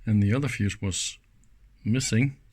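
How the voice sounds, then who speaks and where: A man's voice: on the low side, measured pace, a little mellow, a little hiss or hum. A man giving casual, unscripted hands-on commentary as an electronics hobbyist, musing aloud in short exclamations. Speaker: electronics hobbyist presenting a teardown.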